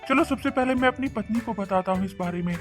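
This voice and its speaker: A man acting an excitable cartoon fisherman. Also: high voice, quick pace, music in the background, natural voice. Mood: excited. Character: excitable, greedy but kindly fisherman husband.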